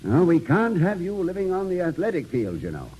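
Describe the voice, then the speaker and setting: An actor playing a kindly, gently witty college president in early 1950s radio comedy-drama, polite and a little hesitant. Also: male voice, high-pitched, huge pitch swings, brisk, breathy edge, heavily acted, low-quality recording.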